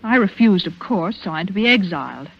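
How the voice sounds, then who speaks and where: A woman's voice: mid-range voice, lively intonation, brisk, gravelly. An actress playing a bold young socialite woman in a 1940s radio drama. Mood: brisk, intimate. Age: young adult. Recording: hissy, dull vintage radio recording.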